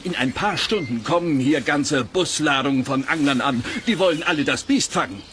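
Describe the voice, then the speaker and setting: A man's voice: on the high side, normal speed, a bit bright, a little hiss or hum, close to an everyday voice. An actor playing a pushy, boastful lakeside innkeeper with a dramatic, coaxing salesman's manner in a children's radio play.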